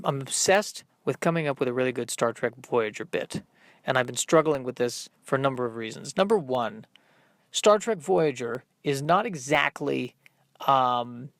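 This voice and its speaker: A man hosting a solo comedy podcast, rambling and thinking aloud in a halting, self-deprecating way. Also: mid-range voice, fairly bright tone, no room sound, clean studio sound. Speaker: podcast host working out stand-up comedy bits.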